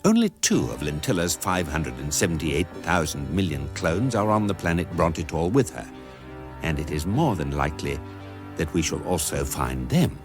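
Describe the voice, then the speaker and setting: A man with a British accent playing an unruffled, learned narrator of a radio comedy, dry and deadpan. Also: a bass voice, normal speed, music in the background, full character voice. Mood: wry. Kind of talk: scripted comic narration.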